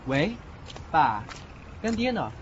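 A man playing a smitten, easily flustered young man in an audio drama, expressive, tender and a little exasperated. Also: fairly high pitch, takes it slowly, heavy background noise. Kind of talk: audio drama acting with inner monologue. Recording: muffled lo-fi audio drama over music.

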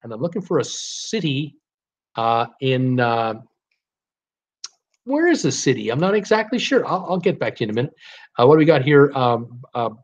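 A man's voice: mid-range voice, very animated, normal speed, pauses often. A man in his sixties hosting a casual livestream quiz from a home office, reading out guesses and answering himself in short, clipped phrases. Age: 60s. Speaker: livestream host. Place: small home office, indoors. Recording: slightly dull webcam audio.

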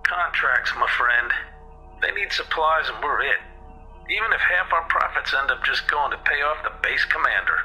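A man's voice: a little high, animated, moderate pace, pushed and bright, faint music behind, rough recording. A man playing a blunt, casual space transport pilot in an audio drama. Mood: resigned.

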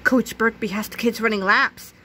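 A woman reading a children's graphic novel aloud, expressively, reading sound effects out loud as well. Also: on the high side, steady tone, normal speed, a little hiss or hum. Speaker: reader.

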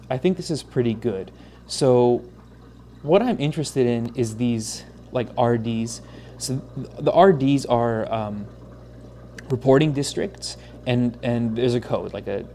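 A man's voice: mid-range voice, mellow, a little hiss or hum. A man giving a casual, unscripted tech demo in a conversational, hesitant way, as a hobbyist presenter at a desk at home. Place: small indoor home desk setup.

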